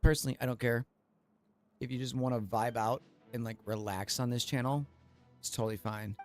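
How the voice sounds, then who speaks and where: A man's voice: medium pitch, steady tone, brisk, a little mellow, soft background music. A man livestreaming a game, chatting casually with viewers in a rambling, playful way with plenty of swearing. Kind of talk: casual live commentary with chat.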